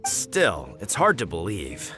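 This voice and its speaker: An actor playing a sensible, patient village man in a fantasy game, speaking in an earnest, expressive way. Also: sits low, takes it slowly, soft background music, exaggerated voice. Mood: bemused. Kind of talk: animated game voice acting.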